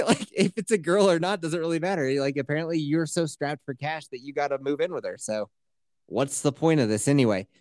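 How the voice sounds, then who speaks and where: A man's voice: fairly high pitch, no echo, clean studio sound. Blunt, crude-talking male podcast host. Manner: blunt, crude, animated storytelling. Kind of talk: casual advice podcast chat.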